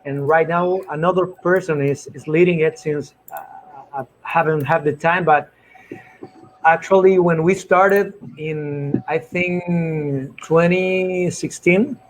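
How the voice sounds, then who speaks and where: A man's voice: a little high, takes it slowly, low-quality recording. A man from Chile, in his thirties, speaking as a guest on a video call through a headset from a small room at home, telling his story loosely and easily. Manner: relaxed, loosely phrased storytelling. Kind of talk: casual online talk.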